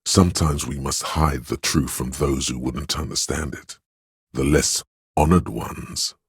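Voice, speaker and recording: An actor voicing a solemn, grandiose inner voice of authority in a video game, speaking in a pompous, ceremonious and deliberate manner. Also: male voice, a bass voice, steady tone, husky, dry recording, clean studio sound, exaggerated voice. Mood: solemn praise. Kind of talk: scripted game voice lines, theatrical narration.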